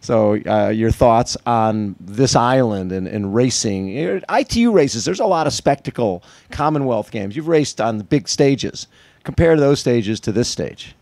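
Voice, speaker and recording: A man's voice: medium pitch, lively intonation, measured pace, creaky, a little mellow, no room sound. A man hosting an upbeat sports interview show, with an enthusiastic, punchy way of announcing.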